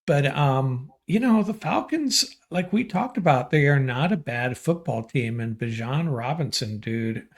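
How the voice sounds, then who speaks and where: Relaxed male podcast co-host, mid-pitched, hesitant delivery with fillers. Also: a little slow. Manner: laid-back, hesitant, many fillers. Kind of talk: casual sports reaction chat.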